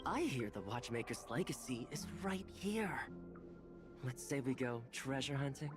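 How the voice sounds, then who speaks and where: A woman's voice: rumbling low, big pitch swings, measured pace, full character voice. A woman voicing a friendly, adventurous treasure hunter in a video game, inviting and theatrical. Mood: eager, inviting. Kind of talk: scripted video game dialogue. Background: music.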